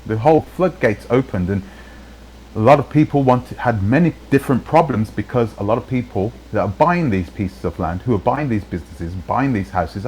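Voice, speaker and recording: A man's voice: medium pitch, a little mellow, rough recording. A man talking casually in a rambling way, often restarting his sentences, with faint noise behind him. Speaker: channel host in played clips. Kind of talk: casual live-stream talk.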